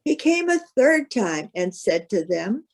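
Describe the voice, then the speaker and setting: A woman reading scripture as narrator, in a measured, formal way. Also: mid-range voice, big pitch swings, a little mellow.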